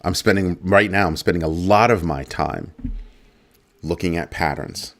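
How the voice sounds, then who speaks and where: A man with a very low, deep voice hosts a casual crypto livestream. He is middle-aged and talks loosely to his viewers, repeating phrases and tossing in playful asides. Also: moderate pace, gravelly, fairly bright tone. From United States. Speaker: crypto show host.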